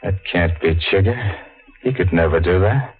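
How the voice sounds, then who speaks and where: An actor with an American accent playing a frightened, streetwise gambler on the run in a 1940s radio drama. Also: male voice, low voice, moderate pace, natural voice. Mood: uneasy bravado. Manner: streetwise slangy speech. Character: frightened small-time gambler on the run, narrating his own tale. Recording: muffled old radio recording with music.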